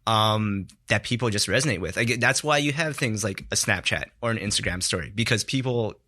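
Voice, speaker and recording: A man's voice: fairly low pitch, brisk, sharp tone. A man guesting on a studio podcast, talking in a loose, reflective way and filling his sentences with "like". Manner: rambling, heavy use of "like", reflective. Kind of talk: casual studio chat.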